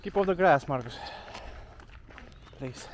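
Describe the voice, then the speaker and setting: A woman's voice: low-pitched, animated, slow pace. A woman patiently explaining things to a child and teasing him on a family walk through rainy gardens. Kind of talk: casual family chat. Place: outdoors in rainy country house gardens. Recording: noisy outdoor camera audio.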